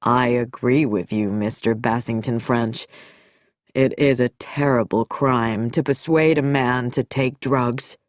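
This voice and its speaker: A woman voicing a smooth, coolly amused middle-aged male doctor who speaks in a measured, quietly mocking way. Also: very deep voice, fairly even intonation, no echo, clean studio sound, voice pushed down. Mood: suave, amused.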